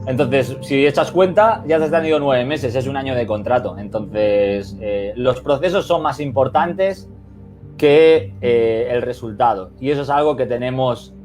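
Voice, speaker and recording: Spanish-accented coach guy on a video call, thinks out loud, lots of eh, hits his points hard. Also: medium pitch, unhurried, soft background music. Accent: Spain Spanish. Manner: reflective, emphatic, many restarts and "eh" fillers. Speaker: football coach guest. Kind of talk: webinar interview answers.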